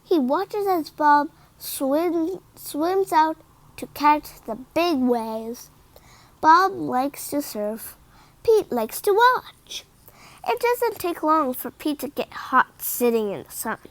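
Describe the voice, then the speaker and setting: A woman reading a children's picture book aloud in an expressive, deliberate manner. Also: sits high, dry recording. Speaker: read-aloud storyteller.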